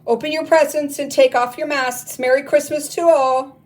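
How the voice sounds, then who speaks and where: A woman voicing a kind, cheerful young hostess who exclaims and pleads warmly in a storybook. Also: mid-range voice, takes it slowly, natural voice. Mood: joyful.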